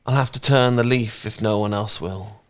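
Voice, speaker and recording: A man playing a smitten, bashful housemate in an intimate romance audio roleplay, speaking gently and sometimes haltingly. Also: lowish voice, close to an everyday voice. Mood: measured, reflective reading. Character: smitten, bashful male housemate, tender poetry reader.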